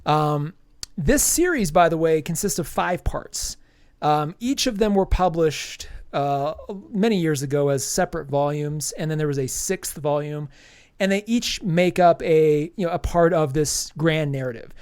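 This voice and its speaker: A man's voice: fairly high pitch, lively intonation, measured pace, darkish tone, clean tone, dry recording, studio quality. A man hosting a podcast, casually reviewing a comic with a stuffy nose and lots of ums and restarts. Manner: rambling, many ums and restarts.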